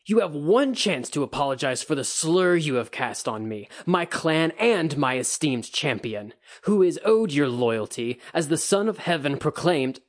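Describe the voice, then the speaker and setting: A man's voice: mid-range voice, normal speed, hardly any pauses, natural voice. A man voicing a proud, drunk samurai who growls haughty threats. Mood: menacing.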